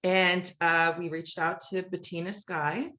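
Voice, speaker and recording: A woman's voice: on the low side, steady tone, measured pace, bright, forceful tone. A woman speaking casually and conversationally as a guest in a podcast interview. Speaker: podcast guest, musical writer.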